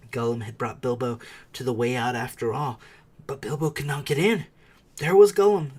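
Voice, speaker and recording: A man's voice: medium pitch, breathy edge. A man reading a storybook aloud as a dramatic narrator, with theatrical, suspenseful delivery. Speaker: audiobook reader.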